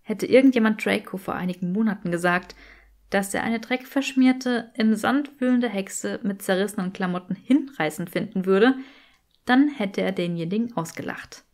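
A woman's voice: mid-range voice, moderate pace, a little mellow. Casting: female audiobook narrator for scripted fan fiction, mid-range voice.

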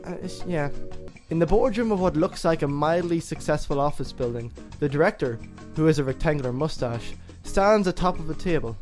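High-voiced man, jokey podcast host. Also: animated, a little fast, a little mellow, soft background music. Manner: mocking, jokey. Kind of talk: casual chat with narrated scene setups.

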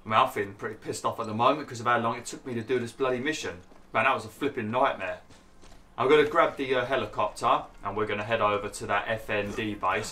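Chatty gamer, bright voice. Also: male voice, fairly low pitch. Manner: chatty, grumbling, laughs at himself. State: losing his voice. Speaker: gaming YouTuber. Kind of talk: gameplay commentary.

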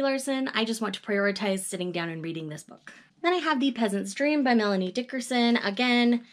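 A woman's voice: fairly high pitch, fairly bright tone. A cheerful woman, chatting casually to her camera at a desk. She talks in an upbeat, easygoing way, with little laughs between titles, recorded in a small room lined with bookshelves. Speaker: book vlogger. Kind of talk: casual reading-plan chat. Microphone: webcam or camera at desk distance.

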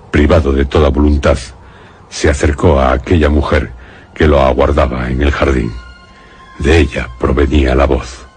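A man narrating a suspense radio drama in a deliberate, solemn, dramatic way. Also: a bass voice, darkish tone, slightly rough. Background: faint music.

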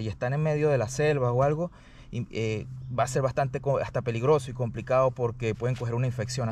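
A man giving a casual, explanatory hands-on product review, often pausing to check the listener follows. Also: medium pitch, darkish tone. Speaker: product reviewer, channel host. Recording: distant mic, faint background noise.

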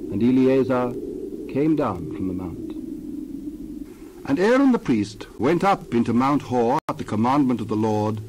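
A man reading scripture aloud as narrator, measured and reverent. His pitch sits mid-range. A faint hiss runs underneath. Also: darkish tone, low-quality recording.

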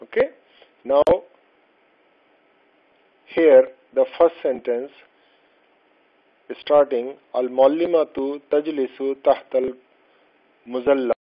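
A man teaching an Arabic textbook lesson, who is not a native speaker of Arabic, explaining slowly and patiently with frequent fillers and restarts. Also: mid-range voice, steady tone. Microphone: voice-over over slides. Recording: dull lo-fi voice-over.

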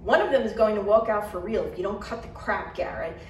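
A woman voicing an exasperated young woman record producer, commanding and sharp, in an echoey room. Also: medium pitch, a little fast, distant mic, slight background noise, close to an everyday voice. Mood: commanding angry.

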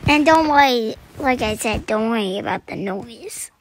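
A young woman chatting through a survival game, her voice scratchy this morning, clearing her throat and often dropping to a whisper. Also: a little high, lively intonation, moderate pace. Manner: chatty, self-deprecating, often drops to a whisper. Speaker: gaming channel host. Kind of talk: casual gameplay commentary.

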